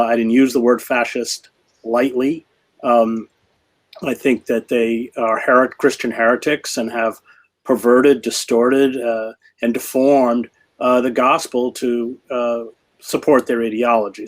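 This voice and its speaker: A man answering an interviewer's questions as a journalist guest, speaking in a grave, measured way with many fillers and restarts. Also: medium pitch, flat delivery.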